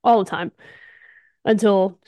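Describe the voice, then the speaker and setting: A woman's voice: mid-range voice, slow, dark tone, no room sound. A young woman co-hosts a casual podcast, talking in an enthusiastic, emphatic, slangy way. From Alabama, United States. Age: young adult. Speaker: podcast co-host, softball player.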